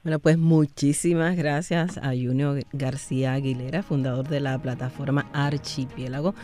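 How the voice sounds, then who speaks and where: A man's voice: mid-range voice, steady tone, moderate pace, warm tone, soft background music. A man hosting a news interview, formal and direct. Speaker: news host.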